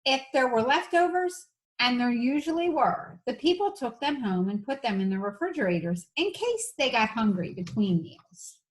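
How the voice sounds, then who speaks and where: A woman's voice: fairly high pitch, animated, normal speed, rough recording. Woman reading a storybook aloud. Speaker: elementary teacher reading aloud. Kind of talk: children's storybook read-aloud with casual asides.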